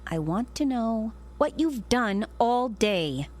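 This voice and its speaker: Scornful, drawling American wife. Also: female voice, a little high, sing-song, takes it slowly, darkish tone, full character voice. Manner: sarcastic, needling. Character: scornful, bullying, belittling wife. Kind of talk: radio drama acting.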